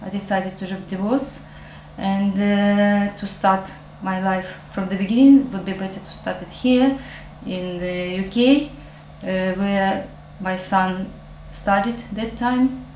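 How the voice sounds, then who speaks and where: Slow-spoken middle-aged woman from Ukraine. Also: mid-range voice, steady tone, darkish tone, a little hiss or hum. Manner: slow, halting, many fillers. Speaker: network marketing distributor. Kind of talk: personal testimonial. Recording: roomy echo, faint music.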